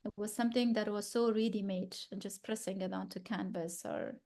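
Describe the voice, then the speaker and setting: A woman in her thirties from Afghanistan explains her art at a gallery event. She talks in a thoughtful, searching way, restarting sentences and now and then laughing softly, in a voice of middling pitch. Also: fairly even intonation, brisk. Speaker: exhibiting artist. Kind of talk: gallery artist talk.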